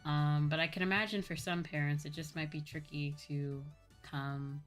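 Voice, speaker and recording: Young adult female streamer, American, low register. Casual, rambling, unscripted chat over background music. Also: steady tone, normal speed. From United States. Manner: rambling, informal, self-correcting. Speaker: livestreamer, virtual YouTuber. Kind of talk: casual chat with live viewers. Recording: music playing behind the voice.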